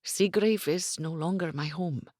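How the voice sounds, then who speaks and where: A woman voicing a brooding Highland warrior, speaking in a grave, hushed way. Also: unhurried, no echo, studio quality.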